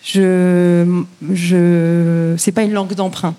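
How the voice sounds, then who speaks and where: A woman from France talking casually as a guest, halting as she thinks aloud. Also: on the low side, flat delivery, warm tone. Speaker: guest musician. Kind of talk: casual discussion.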